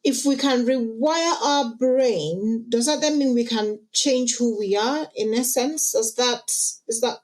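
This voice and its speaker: A woman's voice: fairly high pitch, unhurried, few pauses, a little mellow. A woman acting as guest interviewer, asking curious, probing follow-up questions and giving short back-channel replies.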